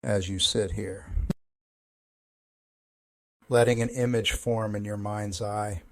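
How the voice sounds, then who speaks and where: A man leading a guided meditation as a counselor, speaking in a calm, measured, gentle instructional way with pauses. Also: lowish voice, creaky, dry recording.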